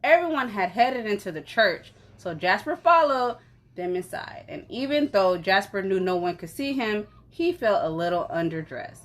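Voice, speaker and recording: A woman reading a story aloud in an animated, conversational way, as if for children. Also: medium pitch, normal speed. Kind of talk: expressive children's story narration.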